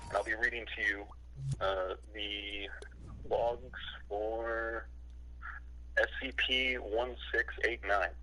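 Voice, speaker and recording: A young man talking casually, then reading aloud in a halting, self-conscious way over a voice call. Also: fairly low pitch, pushed and bright, rough recording. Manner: hesitant, stumbling, self-conscious. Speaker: reader of creepypasta-style logs.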